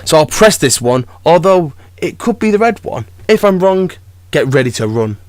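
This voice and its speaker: A man's voice: fairly high pitch, very animated, normal speed, no echo. A man acting as a brave, earnest young human companion in a fan audio drama. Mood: defiant.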